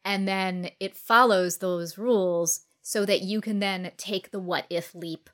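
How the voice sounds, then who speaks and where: A woman's voice: mid-range voice, fairly even intonation, a little slow, a bit bright. A woman speaking as a podcast guest, explaining her points articulately in a conversational style. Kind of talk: conversational interview talk.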